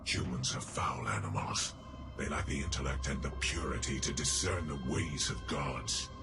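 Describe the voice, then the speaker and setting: A man voicing a stern, zealous alien commander in a game cutscene, gravelly, curt and pompous. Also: rumbling low, normal speed, in a whisper. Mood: zealous disdain. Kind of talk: dramatic game cutscene acting. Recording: very loud music underneath.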